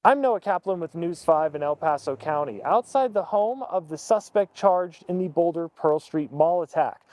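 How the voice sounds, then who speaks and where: A man's voice: a little high, expressive, moderate pace, a little mellow. An American man working as a TV field reporter, delivering a scripted news report. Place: outdoors in a residential neighborhood.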